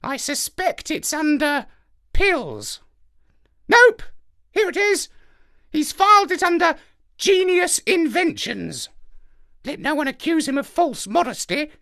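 A squawky smug parrot. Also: male voice, big pitch swings, slow, creaky, long pauses, bright, forceful tone, full character voice. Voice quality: squawky high put-on voice. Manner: smug, condescending. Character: sharp-tongued clever male parrot hacker.

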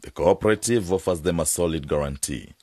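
An actor playing an earnest, persuasive farm owner with a husky voice in a radio drama. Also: male voice, deep voice, a little fast, a little mellow, dry recording, studio quality. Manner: insistent, persuasive. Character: earnest farm owner and peanut producer bargaining for help.

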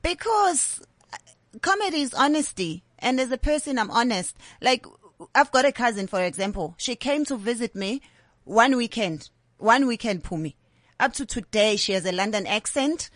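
A woman's voice: a little high, moderate pace, no room sound. A South African woman in her thirties, a comedian talking candidly in an interview and slipping into Zulu phrases. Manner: candid, animated storytelling mixing English with Zulu. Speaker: comedian guest. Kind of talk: interview conversation.

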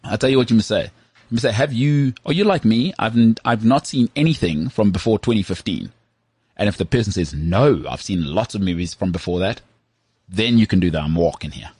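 A man's voice: fairly low pitch, animated, a little mellow, slightly rough, no room sound. A man hosting a podcast, teasing and quizzing his co-host in casual chat. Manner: teasing, quizzing, quick asides.

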